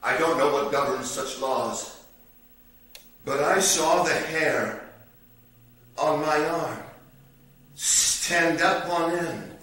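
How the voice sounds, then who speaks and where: A man acting a haunted, sardonic storyteller in a dramatic stage monologue, deliberate and theatrical, with long pauses. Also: fairly high pitch, bright, forceful tone. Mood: haunted, hushed wonder. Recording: roomy echo, distant mic, faint noise.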